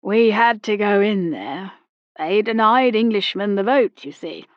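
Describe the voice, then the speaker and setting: A woman voicing an old British army captain, brusque, with a clipped, slow and emphatic manner. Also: medium pitch, expressive, no echo, muffled recording, lightly acted. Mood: blustering.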